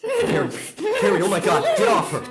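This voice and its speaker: A man acts out an agitated middle-aged father in an audio horror drama. His delivery is theatrical and stammering, leaping up and down in pitch. The room is echoey, with music behind him. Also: huge pitch swings, brisk. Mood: frantic, furious. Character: frantic angry father battling a monster in his house. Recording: roomy echo under music.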